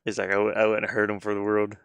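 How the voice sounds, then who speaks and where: A man chatting casually like a streamer, thinking aloud in a halting, rambling way. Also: fairly low pitch, monotone, vocal fry, fairly bright tone, clean tone, no echo. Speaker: streamer and hobby game designer. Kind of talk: casual rambling livestream chat.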